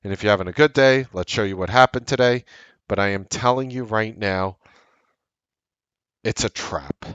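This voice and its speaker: A man of about forty, hosting a trading show and talking earnestly and emphatically, repeating his points like a pitch. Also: normal speed, pauses often, fairly bright tone, clean tone, no echo, muffled recording. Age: late 30s. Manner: emphatic, repetitive, salesy and earnest. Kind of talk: direct-to-camera market commentary.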